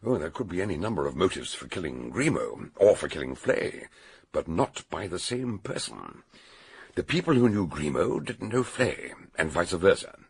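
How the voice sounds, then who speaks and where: An older scholarly detective in a radio mystery. His voice is very low and crackles with gravel, swinging widely as he mulls things over, starts hesitantly and repeats himself for emphasis. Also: very animated, normal speed, exaggerated voice. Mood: emphatic, reasoning. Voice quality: gravelly, husky. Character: older male amateur detective, learned, musing and emphatic. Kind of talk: detective radio drama acting.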